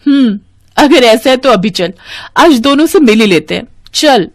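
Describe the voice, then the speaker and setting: A woman voicing excitable young twin sisters in an expressive, chatty way for a dramatized moral story. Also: fairly high pitch, a little slow, pitched above the performer's own voice. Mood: curious, teasing.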